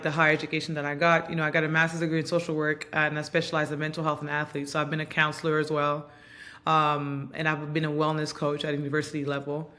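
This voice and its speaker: A woman in her thirties from Montreal, whose first language is Haitian Creole, giving reflective, earnest interview answers with frequent pauses and ums. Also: low-pitched, a bit bright. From Montreal, Canada. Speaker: guest, basketball player and coach.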